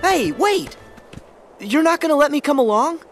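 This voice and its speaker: Eager, lively teenage boy hero. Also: very high-pitched, normal speed, faint music behind, heavily acted. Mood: friendly, eager. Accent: American. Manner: earnest, youthful. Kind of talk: animated game character acting.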